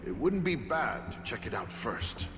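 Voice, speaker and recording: An actor playing a helpful, considerate adventuring companion in a fantasy video game, speaking expressively. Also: male voice, mid-range voice, sing-song, unhurried. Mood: thoughtful. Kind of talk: video game cutscene acting. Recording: voice over game music.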